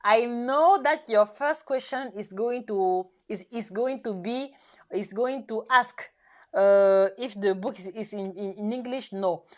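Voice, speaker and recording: A woman whose first language is French, hosting a live nutrition session in careful, halting English full of restarts, coaxing her viewers directly. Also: on the high side. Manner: halting, self-correcting English with many restarts and fillers. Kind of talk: live stream chat to viewers.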